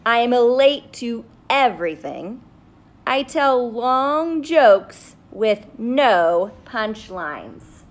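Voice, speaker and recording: A grumpy little sunflower seed from a picture book, voiced by a woman reading aloud. The delivery is very slow and emphatic, defiantly stretching words, in a slightly high register. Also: expressive. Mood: brazen confessing. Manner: emphatic, drawn-out storybook delivery.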